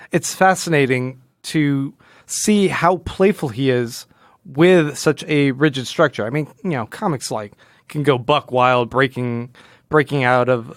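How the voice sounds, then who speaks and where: A man's voice: a little high, a bit bright, clean tone, no room sound, clean studio sound. A man co-hosting a podcast, talking casually about comic books in informal, slangy phrasing. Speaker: podcast co-host. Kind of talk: casual comic book discussion.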